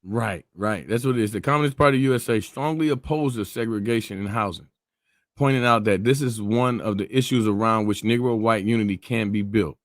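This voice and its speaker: A man in a casual discussion who gets animated and laughs between points, and also reads documents aloud. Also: on the low side, brisk, dry recording, clean studio sound. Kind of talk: casual conversation and reading aloud.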